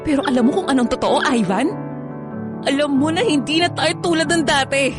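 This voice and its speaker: High-voiced, evasive Filipina girlfriend played by a woman. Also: fairly quick, natural voice. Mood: sad, resigned. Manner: reluctant, defensive. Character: evasive, weary girlfriend falling out of love. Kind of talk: Tagalog online radio drama acting. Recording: music bed under the voice.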